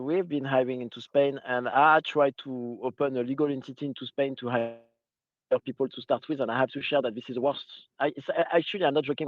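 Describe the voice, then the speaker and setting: A man's voice: mid-range voice, no room sound. A man taking part in a webinar panel who often stops and restarts his sentences. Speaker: webinar participant. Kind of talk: panel discussion. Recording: tinny phone-like audio.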